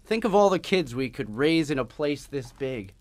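An actor playing a wisecracking, upbeat young husband on honeymoon, in old-time radio drama style. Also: male voice, mid-range voice, expressive, moderate pace, no echo, lightly acted. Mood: wry, teasing. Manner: jaunty, polite, wry.

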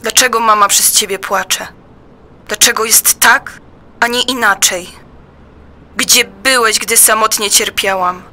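A woman with a high, very bright and tense voice recites dramatically. She is plaintive and reproachful, taking each line slowly and deliberately. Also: steady tone. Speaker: performer in an audio play. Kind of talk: dramatic recitation of a personal poem.

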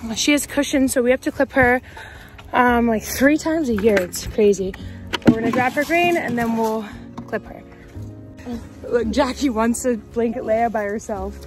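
A woman's voice: on the high side, normal speed, over music. A young woman in her twenties chatting casually as she vlogs outdoors on a farm, filming herself on a phone held at arm's length. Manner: chatty, bubbly, talks to animals in a baby voice. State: out of breath while running. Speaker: vlogger.

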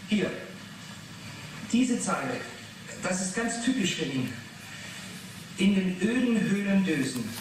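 An irritable man being questioned in a 1980s radio drama. He explains himself in a guarded, testy way, his voice a touch high. The sound is distant and heavily echoed. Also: big pitch swings, takes it slowly, long pauses, a little hiss or hum, heavily acted. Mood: defensive, earnest. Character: defensive, irritable male club member under questioning. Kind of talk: 1980s German radio play acting.

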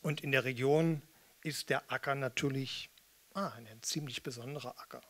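A man's voice: mid-range voice, normal speed, fairly bright tone. Older man, wry stage storyteller. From eastern Germany. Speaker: spoken-word performer and musician introducing pieces. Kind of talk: casual, storytelling stage introduction. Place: small theatre or cinema hall with red seats. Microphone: studio microphone on stand with pop filter, close.

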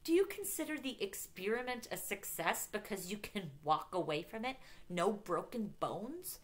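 Audiobook role: brooding immortal young man played by a woman, anguished and reproachful, gravelly, intense. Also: a little slow. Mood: livid. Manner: low, intense, icy reproach.

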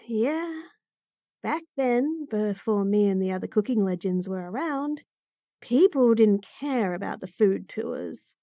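A woman's voice: medium pitch, lively intonation, takes it slowly, warm tone, no room sound. Sassy bunny girl chef, woman acting it, bouncy singsongy voice, takes her time, super dry recording. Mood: wistful. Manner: sassy, bratty, teasing. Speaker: voice actor in a roleplay audio. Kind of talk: F4M roleplay audio, acted monologue.

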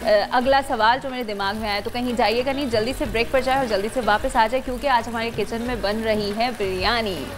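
A woman's voice: a little high, steady tone, a little fast, few pauses. A woman with a Pakistani accent presenting a cooking show, questioning a guest and repeating back answers. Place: TV kitchen studio. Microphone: lapel mic. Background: music.

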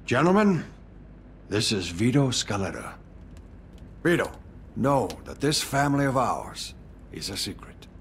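An actor playing a middle-aged mafia don in a game cutscene, speaking formally, with ceremonial gravity. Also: male voice, mid-range voice, big pitch swings, a little slow, pauses often, a little hiss or hum. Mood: solemn, menacing.

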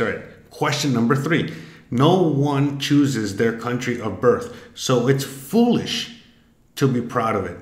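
A man, musing aloud in a rambling, filler-heavy way while taking an online quiz on a desk webcam. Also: fairly low pitch. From Miami, Florida, US. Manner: rambling, many fillers and restarts. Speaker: vlogger. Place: home room, indoors. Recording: echoey room.

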